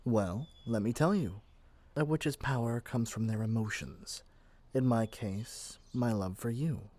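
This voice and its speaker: A man's voice: medium pitch, measured pace, dark tone, natural voice. A man playing a lovestruck, possessive young male witch in an ASMR roleplay, chatty and gushing with flustered hesitations. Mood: tender, devoted. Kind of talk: ASMR roleplay addressed to the listener.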